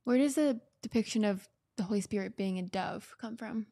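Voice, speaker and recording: A woman's voice: mid-range voice, moderate pace, gravelly, dark tone. A young American woman co-hosting in a casual, conversational way. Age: young adult. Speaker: co-host. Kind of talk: casual chat.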